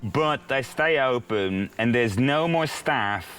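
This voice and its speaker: A man whose mother tongue is Dutch, telling stories casually as a podcast guest with lively exclamations. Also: mid-range voice, takes it slowly, clean tone, soft background music. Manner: lively, animated, breaks into exclaimed sayings. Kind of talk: casual storytelling.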